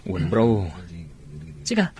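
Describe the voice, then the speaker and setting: A man's voice: fairly low pitch, huge pitch swings, extremely slow, darkish tone, a little hiss or hum, full character voice. An actor playing a soldier passing news to his comrade in an Ilocano radio drama. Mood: casual. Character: male soldier relaying news to his buddy.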